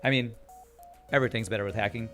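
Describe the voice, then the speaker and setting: A man streaming a video game, chatting casually and reading chat aloud, with a calm voice that sounds tired. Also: on the low side, quick pace, soft background music. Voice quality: calm, soothing voice. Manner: chatty, reads chat aloud, laughs often. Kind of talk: casual live-stream commentary.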